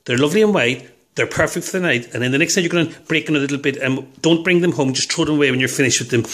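A man's voice: mid-range voice, a bit bright. A man casually explaining fishing tips at home while filming his hands on a phone, rambling and chuckling at his own jokes. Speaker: fishing video host. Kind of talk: casual how-to talk to camera.

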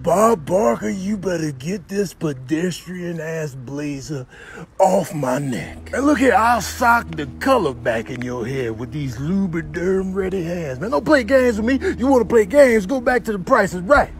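Man voicing an angry animal, high-pitched. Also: animated, measured pace, faint music behind. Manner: slangy, threatening.

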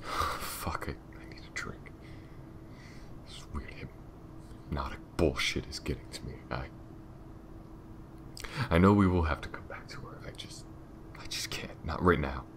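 Brooding male detective, acted by a man, very deep, half-whispered, halting mutter, audio drama. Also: exaggerated voice. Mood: shaken, weary. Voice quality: very deep, gravelly, breathy murmur. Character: brooding, shaken male detective haunted by loss. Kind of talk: audio roleplay acting.

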